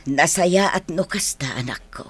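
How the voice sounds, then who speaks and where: A woman playing an elderly, doting and watchful grandmother in a radio drama, husky, with an Ilocano accent. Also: sits low, moderate pace, no echo. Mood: concerned, probing.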